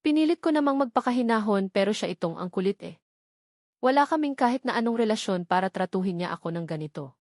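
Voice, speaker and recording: A woman's voice: medium pitch, animated, fast, a little mellow, no room sound, studio quality. A woman reading a first-person romance novel as a scripted audiobook narration.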